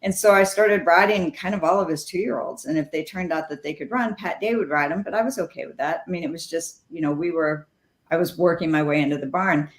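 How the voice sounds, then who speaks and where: A woman's voice: on the low side, fairly quick. Woman telling stories in an echoey room. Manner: animated anecdotes with quoted dialogue. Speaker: guest, former jockey. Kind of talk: storytelling interview.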